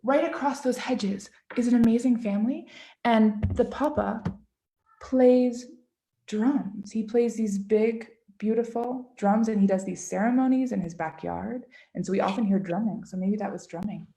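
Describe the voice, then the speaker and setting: A woman children's book author talking casually and interactively to children on a video call, expressive and encouraging, often asking questions. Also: fairly high pitch, moderate pace. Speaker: children's book author giving a read-aloud.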